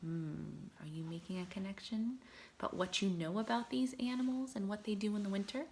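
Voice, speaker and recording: A woman teacher reading poetry aloud to young children, explaining as she goes and putting questions to her listeners in an expressive way. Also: mid-range voice, a little fast, gravelly, a little hiss or hum. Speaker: kindergarten teacher reading to pupils. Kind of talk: read-aloud lesson for young children, explaining and reciting poems.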